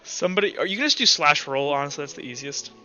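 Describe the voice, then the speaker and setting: A man with a high voice runs a tabletop roleplay game online in a loose, informal way. He thinks through rulings as he speaks, in a bright, forward tone. The sound is slightly dull, like voice chat, with music softly behind. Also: lively intonation, very fast. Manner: informal, talks through rulings aloud, acts out sound effects. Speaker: game master. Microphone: online voice chat microphone.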